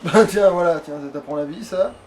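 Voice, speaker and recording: A man plays and comments at a quick clip. His talk is slangy, crude and casual. There is some background noise on the recording. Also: high voice, darkish tone. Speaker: let's player at the controls. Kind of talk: casual gaming chat while playing.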